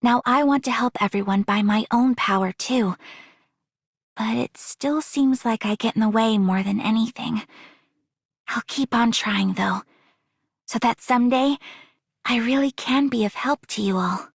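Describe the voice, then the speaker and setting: An actress playing an earnest, self-doubting, grateful young helper in a game, with a breathy voice. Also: female voice, fairly high pitch, measured pace, no room sound, clean studio sound, exaggerated voice. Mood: earnest, humble. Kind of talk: scripted game character monologue.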